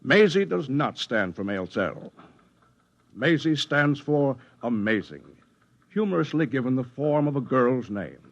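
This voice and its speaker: A man's voice: mid-range voice, big pitch swings, a little slow, dull audio. Smug, bullying fat man, sardonic and domineering, fifties radio drama style. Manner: bossy, sardonic. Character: gruff, smug, bullying fat man secretly posing as computer. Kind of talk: 1950s radio drama acting.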